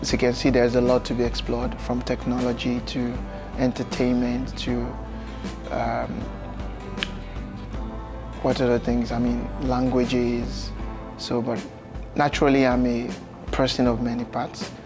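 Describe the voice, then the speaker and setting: A young Nigerian man whose first language is Yoruba, a guest in a casual interview, relaxed and often adding "you know" and "um", seated in a bright lounge. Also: mid-range voice, measured pace, long pauses, music in the background. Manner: relaxed, gestures with hands, fills with "you know" and "um". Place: bright lounge with sofas, indoors.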